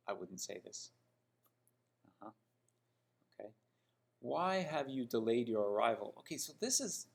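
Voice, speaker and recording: A man from Toronto with a Canadian accent, giving an English lesson in an emphatic, didactic manner with frequent check-in questions. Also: medium pitch. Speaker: English teacher. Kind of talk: spoken English lesson critiquing example sentences.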